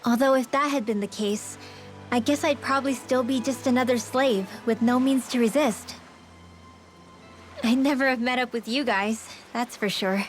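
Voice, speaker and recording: A woman's voice: on the high side, normal speed, soft background music, natural voice. A woman voicing a caring, orphaned young mage girl in an English video game dub, speaking casually and candidly. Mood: wistful, candid. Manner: casual, candid, gently encouraging.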